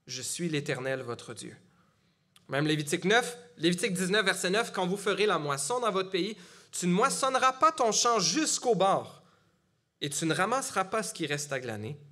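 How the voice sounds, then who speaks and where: Quebec French preacher, fairly high voice. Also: male voice, expressive, moderate pace, pushed and bright. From Gatineau, Quebec. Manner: conversational, with frequent fillers and restarts. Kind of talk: sermon. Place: church.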